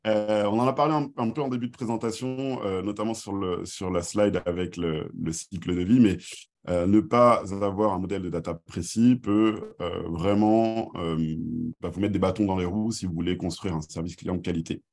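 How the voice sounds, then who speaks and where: A man giving an informal business presentation in a hesitant, halting way with many filled pauses. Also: deep voice, darkish tone. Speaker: workshop presenter.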